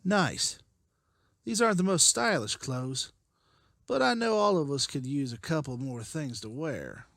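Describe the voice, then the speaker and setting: Survivor leader, male actor: commanding and watchful man, lively intonation, close dry sound, comic-book drama. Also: on the high side, unhurried, gravelly, dry recording, heavily acted. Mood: practical. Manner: decisive, guarded.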